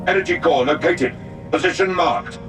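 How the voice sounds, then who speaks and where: A man's voice: fairly high pitch, moderate pace, close to an everyday voice. A man playing an earnest, dutiful space marine in a video game, giving grave and formal reports. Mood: alert. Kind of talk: video game dramatic acting. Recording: distant, over clear background music.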